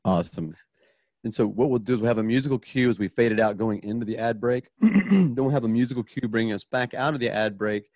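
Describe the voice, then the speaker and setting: A man with a medium-pitched voice casually directs actors and describes sound cues. He mouths effects as he goes. The sound is close and dry. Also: fast, no echo. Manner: informal, animated with spoken sound effects.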